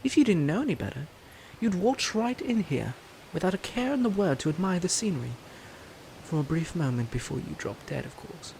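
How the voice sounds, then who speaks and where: An actor playing a suave, smug, flirtatious prince in a romantic roleplay audio drama, teasing and haughty with a sinister edge. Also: male voice, high-pitched, sing-song, a little fast, gravelly, darkish tone, slight background noise, heavily acted, low-quality recording. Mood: silky, menacing. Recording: music under the voice.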